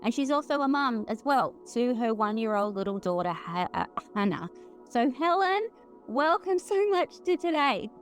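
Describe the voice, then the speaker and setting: An Australian woman hosts a casual podcast chat, bubbly and talkative. Her voice sits a little high. Faint music plays softly beneath. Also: animated, moderate pace. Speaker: podcast host.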